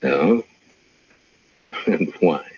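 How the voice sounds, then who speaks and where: An actor playing a steady, plain-spoken frontier marshal in an old-time radio western, dry and firm in manner. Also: male voice, sits low, drawn-out words, slight background noise, heavily acted. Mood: relaxed, amused. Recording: dull, lo-fi old radio recording.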